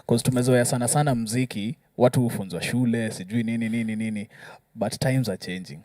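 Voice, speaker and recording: A man's voice: medium pitch, steady tone, a little fast, mellow, no echo, studio quality. A Kenyan man giving explanatory advice in a panel discussion, working through examples and often checking that listeners follow. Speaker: musician panelist.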